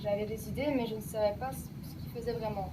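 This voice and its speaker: A woman's voice: mid-range voice, fairly even intonation, fast talker, distant mic, noisy background. A young woman, a former student, speaking casually in a radio interview with many fillers about what she learned. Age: young adult. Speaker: alumna, guest.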